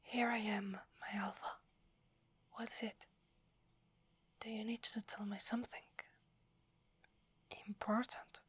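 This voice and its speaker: A woman with an Italian accent playing a shy, devoted young werewolf in a breathy, hesitant ASMR whisper. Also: on the high side, exaggerated voice. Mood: anxious, deferential. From Italy. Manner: hesitant, halting, deferential. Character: devoted, shy young female werewolf serving her alpha.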